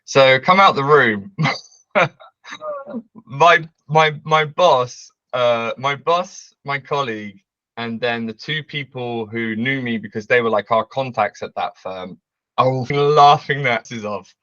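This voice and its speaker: Loud, brash man telling stories. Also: medium pitch, takes it slowly, dry recording, highs cut off. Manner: loud, brash, laughs often. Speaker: interview guest. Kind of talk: casual storytelling. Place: bright home room with large windows. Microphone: video call.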